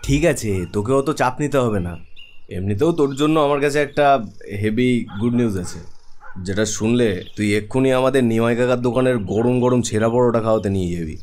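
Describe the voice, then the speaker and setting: A man's voice: mid-range voice, fast, a little mellow, close to an everyday voice. A man voicing a breezy, teasing young best friend in a cartoon, with a West Bengal Bengali accent. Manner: breezy, colloquial. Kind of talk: animated cartoon dialogue.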